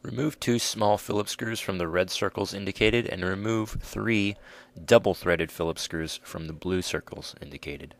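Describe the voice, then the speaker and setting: Male tutorial narrator, lower register, scripted step-by-step delivery, dry close-miked sound. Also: normal speed, gravelly, dry recording.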